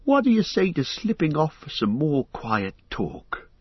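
A man voicing a chatty, wry old male hobbit poet, talking briskly and with light indignation. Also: fairly high pitch, huge pitch swings, husky, no echo, muffled recording, voice pushed up, full character voice.

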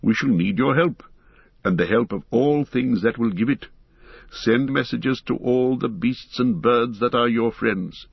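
A man voicing a grave, weary old male wizard who speaks in a calm, measured way. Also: medium pitch, creaky, slightly rough, no room sound, lo-fi sound. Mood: urgent.

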